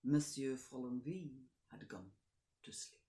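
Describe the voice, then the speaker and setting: A woman actor reading a classic short story aloud in measured narration, often sinking to a soft near-whisper. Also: very deep voice, lively intonation, echoey room. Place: home during lockdown.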